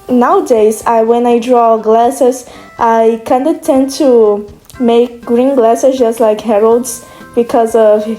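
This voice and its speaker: A young Brazilian woman with Portuguese as her first language talks casually, with a slightly high, mellow voice. She rambles and restarts her sentences often. Faint music plays softly behind her. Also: poor audio. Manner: rambling, hesitant, many restarts. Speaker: video creator. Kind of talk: casual monologue.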